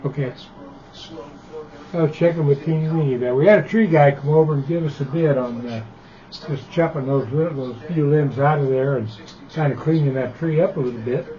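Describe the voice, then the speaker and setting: An older man in easygoing chat on a ham radio call, talking in a relaxed, conversational way. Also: a little high, a little fast. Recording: dull, muffled radio audio.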